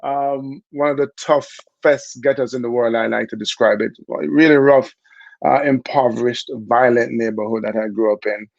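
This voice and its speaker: A middle-aged Jamaican man telling his story in a relaxed, chatty interview, with many fillers along the way. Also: mid-range voice, normal speed, vocal fry, no echo, lo-fi sound. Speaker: interview guest, former Olympic bobsledder.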